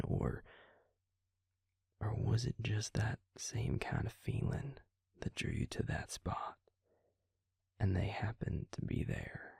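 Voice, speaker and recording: A man playing a gentle, concerned Southern vampire confidant in an intimate audio roleplay, speaking in a hushed, halting, husky voice with a Southern US accent, close to the mic. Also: no echo, full character voice. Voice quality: breathy, husky, gravelly low voice. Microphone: close mic, dry sound.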